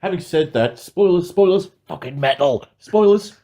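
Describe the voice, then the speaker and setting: A man's voice: high-pitched, animated, normal speed, dark tone. A man co-hosting a podcast, chatting casually about films in a wry, sweary, self-mocking way. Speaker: podcast co-host. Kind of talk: casual film review chat.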